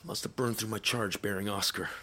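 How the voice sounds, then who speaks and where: An actor playing a weary, wry veteran soldier in an audio drama, speaking in terse phrases. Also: male voice, lowish voice, fairly even intonation, a little fast, sharp tone. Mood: wary, wry. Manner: terse, muttering to himself.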